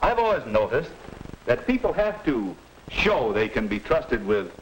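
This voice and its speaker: An actor playing a patient, middle-aged father advising his son, measured and instructive, in a 1950s educational film. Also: mid-range voice, very animated. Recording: noisy 1950s film soundtrack.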